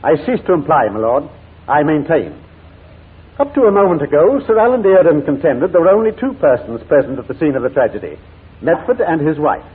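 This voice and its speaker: Relentless barrister, husky old-radio sound. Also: male voice, fairly high pitch, sing-song, a little fast, full character voice. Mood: pressing, insistent. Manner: formal, pointed, insistent questioning. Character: relentless, theatrical male defense barrister. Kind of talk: 1940s radio courtroom acting. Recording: muffled, narrow-band 1940s radio broadcast.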